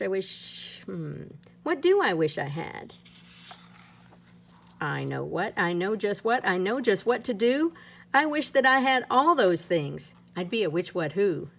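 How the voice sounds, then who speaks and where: A woman reading a rhyming children's picture book aloud in a playful, lilting way, her pitch swinging up and down. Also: gravelly. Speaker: storybook reader.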